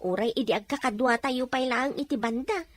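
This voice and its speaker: High-voiced, surprised gossiping friend. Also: female voice, brisk. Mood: surprised, gossipy. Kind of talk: conversational radio drama acting.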